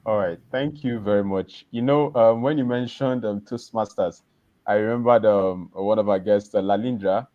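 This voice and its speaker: Low, mellow-voiced male podcast host. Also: moderate pace, no echo, highs cut off. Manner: rambling, with frequent restarts and repeated words. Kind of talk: live podcast hosting.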